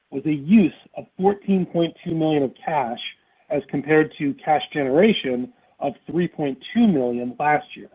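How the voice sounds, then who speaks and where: Husky higher-voiced man on a phone line. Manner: formal, measured reading aloud. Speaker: company finance executive. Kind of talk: scripted earnings call report. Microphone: conference call phone line. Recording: muffled narrowband phone conference line.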